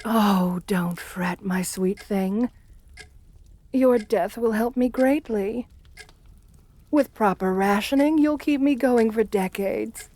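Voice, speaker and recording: Woman acting a slow, mellow, menacing seductive countess. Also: on the high side. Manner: slow, coaxing, condescending endearments, soft-spoken menace. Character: assertive, seductive, menacing aristocratic countess rumored a vampire. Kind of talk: ASMR roleplay acting.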